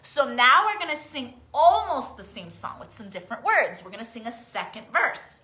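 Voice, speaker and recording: A woman's voice: sits high, big pitch swings, normal speed, bright, forceful tone. A woman leading an interactive children's storytime, coaxing small children along with questions and sing-song encouragement. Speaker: children's storytime host teaching songs and crafts. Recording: echoey room.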